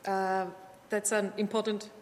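A woman moderating a panel with soft, measured questions. Her voice comes from some way off in a room with a long reverb, and a faint hiss runs underneath it. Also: mid-range voice, little pitch movement. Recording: distant echoey room with faint noise.

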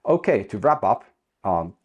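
A man's voice: on the low side, very animated, measured pace, highs cut off. A man giving a screen-share tutorial as a university instructor, talking in a hesitant way with many restarts and fillers.

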